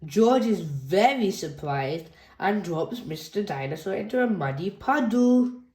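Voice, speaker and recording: A woman reading a children's picture book aloud in a slow, gentle storytelling manner. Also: medium pitch, animated. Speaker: storybook reader. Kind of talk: children's read-aloud narration. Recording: echoey room.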